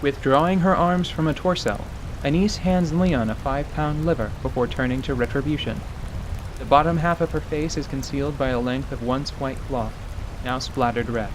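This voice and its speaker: A man's voice: mid-range voice, normal speed, background noise. A man narrating a scripted fantasy story as a radio theatre narrator. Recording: steady rain ambience behind voice. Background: rain ambience.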